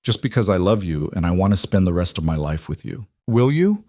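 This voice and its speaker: A man voicing a gentle middle-aged rancher who speaks earnestly. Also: deep voice, big pitch swings, fairly quick, dry recording, studio quality. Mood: tender.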